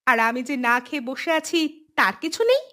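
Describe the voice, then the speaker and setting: Woman voicing an indignant, high-voiced young village wife. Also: very animated, a little fast, pushed and bright, exaggerated voice. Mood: defiant. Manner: complaining, argumentative. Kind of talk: Bengali moral-tale cartoon dubbing.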